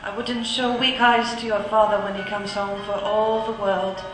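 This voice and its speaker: An actress playing a gentle working-class mother holding back grief in a radio drama. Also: mid-range voice, little pitch movement, normal speed, natural voice. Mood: grieving. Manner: tender, holding back tears. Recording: very roomy reverb with music.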